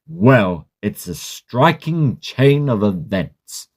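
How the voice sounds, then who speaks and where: A man playing a sceptical, concerned learned gentleman in a Victorian horror drama, with a measured, reasoning, formal manner. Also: on the low side, very animated, heavily acted.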